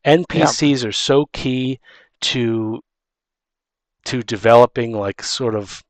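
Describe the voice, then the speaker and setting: A man talks casually as a podcast host and dungeon master, with a mid-pitched voice. He rambles, circling back and restarting phrases. The sound is dry, with very little room on it. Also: takes it slowly, no room sound. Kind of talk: casual tabletop gaming advice chat.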